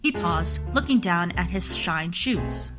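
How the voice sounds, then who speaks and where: A woman's voice: on the low side, expressive, slow. A woman narrating a scripted radio drama in a storytelling style. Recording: music and crowd sounds behind. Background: music and crowd bed.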